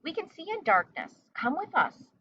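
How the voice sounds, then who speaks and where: A tender, reassuring mother bat from a storybook, acted out by a woman, with a raised pitch. The recording is a bit dull, with faint noise. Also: wildly swooping pitch, normal speed, recorded from a distance.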